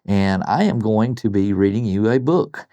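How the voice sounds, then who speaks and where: An older man reading a bedtime story to children, expressive, with chatty asides and questions to his listeners. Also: on the low side, moderate pace, dry recording. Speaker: guest storybook reader, retired principal.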